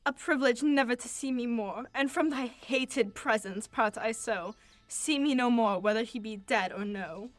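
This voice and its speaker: A woman's voice: a little high, moderate pace, no echo, close to an everyday voice. A teenage woman playing a fiery, distraught young lover, delivering verse dramatically in an amateur Shakespeare radio play. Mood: angry, scornful. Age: late teens.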